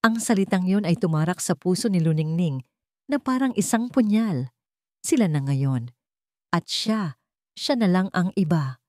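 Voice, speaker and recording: Female narrator, low register, dry close recording, measured dramatic read. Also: no room sound. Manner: slow, dramatic, even reading. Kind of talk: scripted storytelling narration.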